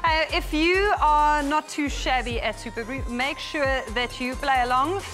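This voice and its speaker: A woman's voice: high voice, a little slow, a bit bright, faint music behind. A woman in her thirties with a South African accent, hosting a rugby show in a TV studio in an upbeat, bubbly way. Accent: South African English. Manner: bubbly, upbeat presenter patter. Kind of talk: studio presenting and interviewing.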